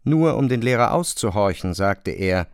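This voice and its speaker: A man's voice: fairly low pitch, animated, moderate pace, smooth, no echo. A man narrating a literary radio play from a script. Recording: dry studio.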